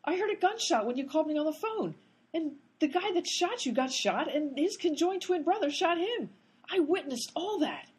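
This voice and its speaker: A woman's voice: sits high, big pitch swings, moderate pace, darkish tone. A woman playing a weary sarcastic detective. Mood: shocked. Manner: sarcastic, exasperated. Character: exasperated sarcastic female private detective. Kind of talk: comedic radio play acting.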